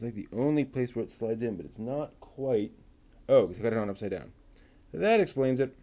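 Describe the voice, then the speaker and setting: A man talking himself through assembling a model kit, muttering and pausing between steps. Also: lowish voice, gravelly, mellow. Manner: slow, halting, with many pauses. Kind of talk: casual build narration.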